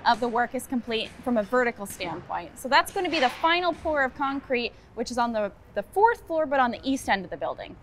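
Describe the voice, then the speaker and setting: Architect, woman, 30s, high voice, steady explainer, outdoor site, faint background noise. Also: fairly quick, few pauses. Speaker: project architect. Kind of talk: on-site interview.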